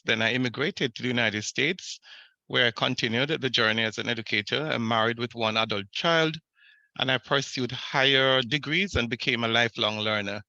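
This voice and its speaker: Middle-aged man, very bright tense voice, formal, dry muffled video-call sound. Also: mid-range voice, no room sound. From Jamaica. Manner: steady, formal, reads from slides. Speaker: superintendent candidate. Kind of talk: prepared self-introduction.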